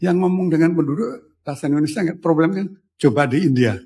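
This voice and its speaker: A man speaking earnestly and deliberately as an interviewee. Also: on the high side, mellow. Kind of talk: reflective talk. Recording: music underneath.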